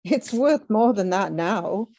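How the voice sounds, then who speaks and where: A woman's voice: mid-range voice, animated, slow pace, mellow. A woman in an amateur script reading playing a reserved, apologetic company executive. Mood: regretful. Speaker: amateur actor at a table read.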